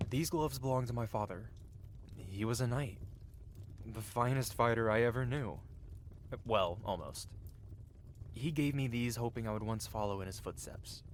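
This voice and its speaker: A man's voice: mid-range voice, moderate pace, long pauses, slight background noise, natural voice. A man playing a cocky, flirty yet tender-hearted medieval blacksmith in an intimate, theatrical ASMR fantasy roleplay. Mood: caring, earnest. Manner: teasing, theatrical, with soft hesitations. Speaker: audio roleplay performer. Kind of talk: ASMR roleplay acting, intimate one-sided dialogue.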